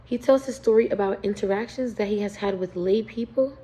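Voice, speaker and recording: A woman's voice: mid-range voice, fairly quick, mellow. Young woman reading a class presentation. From United States. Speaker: student.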